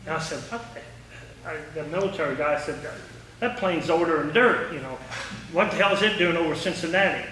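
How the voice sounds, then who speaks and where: A man's voice: fairly high pitch, a little fast, sharp tone. A man giving an informal public talk in a folksy, anecdotal way and answering questions. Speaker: guest speaker, former air traffic controller. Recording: echoey room, distant mic, faint noise.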